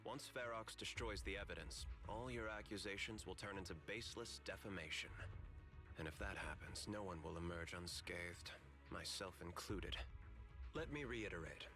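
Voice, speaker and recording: An actor playing a shrewd, calculating company man in a video game, speaking in a smooth, formal, measured and faintly theatrical way. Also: low-pitched, over music, close to an everyday voice. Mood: cool, dismissive. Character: shrewd, calculating corporate man, politely self-serving.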